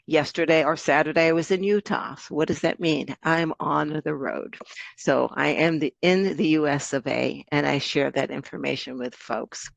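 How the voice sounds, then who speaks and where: An American woman gives her introduction as a summit guest. Her voice is gravelly and dry, with no room sound around it. She talks in an earnest, grateful and slightly meandering way. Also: low-pitched, moderate pace, dry recording. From United States. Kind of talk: self-introduction on a video call.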